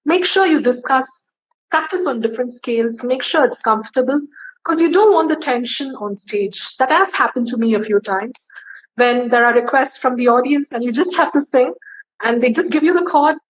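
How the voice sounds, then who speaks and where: A woman's voice: moderate pace, slightly rough, low-quality recording. A woman singer giving casual tips in an interview, chatty and friendly, with many fillers. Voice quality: low, bass-leaning voice for a woman.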